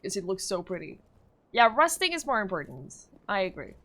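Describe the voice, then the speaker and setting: Bright-voiced young Norwegian woman streamer. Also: medium pitch, sing-song, fairly quick. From Norway. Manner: chatty, reads and answers chat, frequent fillers and laughs. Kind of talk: casual live-stream chat while gaming.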